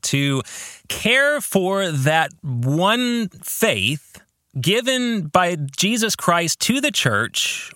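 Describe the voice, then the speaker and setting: A man hosts a reflective solo podcast in a bright, forward voice that sits a little high. He speaks slowly and earnestly, with pitch that moves widely as he leans on key words. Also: dry recording, clean studio sound. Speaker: podcast host and author.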